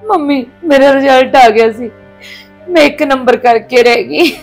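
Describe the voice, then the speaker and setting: A woman's voice: high-pitched, moderate pace, soft background music. A woman playing a young daughter-in-law who pleads and defends herself while crying. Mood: tearful. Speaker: actor in a family skit. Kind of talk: domestic drama skit.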